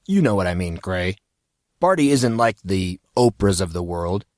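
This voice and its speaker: A man's voice: low-pitched, sing-song, normal speed, darkish tone, no echo, studio quality. Man voicing an aloof know-it-all teenage boy. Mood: condescending.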